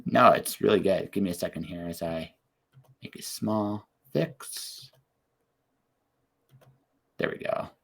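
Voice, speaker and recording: Upbeat low-voiced man hosting a podcast. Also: gravelly, a bit bright, breathy edge. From Canada. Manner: upbeat, encouraging, talks in quick bursts. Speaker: podcast host. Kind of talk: casual interview chat.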